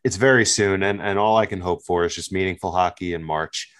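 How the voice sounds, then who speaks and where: A man's voice: low voice, fairly quick, a bit bright, smooth. A man hosting a sports podcast in casual, conversational chat about hockey.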